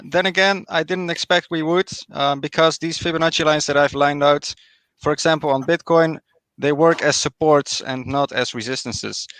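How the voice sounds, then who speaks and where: A man with a Dutch accent, a guest trader calmly explaining market charts on a live stream. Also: a little high, dry recording. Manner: measured, explanatory, confident.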